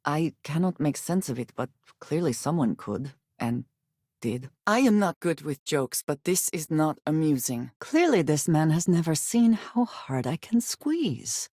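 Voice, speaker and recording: An actress voicing a guarded, earnest female spacefarer in a video game, grave and loyal, with formal, measured phrasing. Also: low voice, warm tone, dry recording, studio quality. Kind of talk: video game character voice lines.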